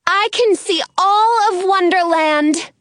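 Woman as an excitable, high-voiced cheerful girl. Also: slow pace, dry recording, heavily acted. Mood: excited, calling out. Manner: excitable, calling out. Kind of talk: children's storybook character voice.

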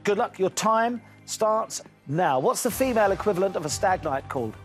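A man hosts a television quiz show with a high, bright-sounding pitch for his sex. He banters and jokes with contestants between questions. Faint music plays underneath. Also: animated, moderate pace. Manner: jokey, teasing banter with contestants.

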